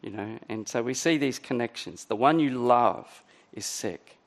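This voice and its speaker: A middle-aged man preaching a sermon in a conversational way, his voice a little croaky. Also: mid-range voice, a little slow. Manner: conversational, many ums and restarts. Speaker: pastor.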